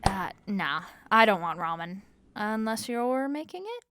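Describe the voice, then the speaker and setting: A woman playing a tired, wry, sleepless roommate in a soft-spoken audio roleplay, talking casually and haltingly with fond, dry teasing. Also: medium pitch, sing-song, noisy background. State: sleepy, weary.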